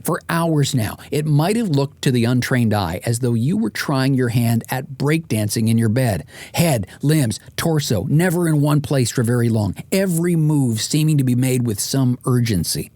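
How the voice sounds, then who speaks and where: Male audiobook narrator, wry and comically exasperated storyteller, middling pitch, very dry close sound. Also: expressive, normal speed, hardly any pauses, a little mellow, no echo. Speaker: author and podcast host narrating.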